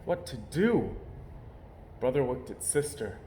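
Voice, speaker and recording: A man reading a children's story aloud in an expressive narrating style. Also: mid-range voice, slow pace. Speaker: storybook reader. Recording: roomy echo, faint background noise.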